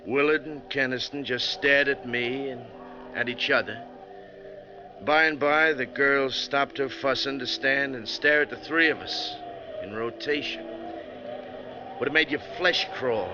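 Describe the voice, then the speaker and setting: An actor playing a plain-spoken, gruff bus driver with a folksy, firm manner in 1940s radio drama style. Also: male voice, medium pitch, natural voice. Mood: tense, narrating. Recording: muffled, noisy 1949 radio recording.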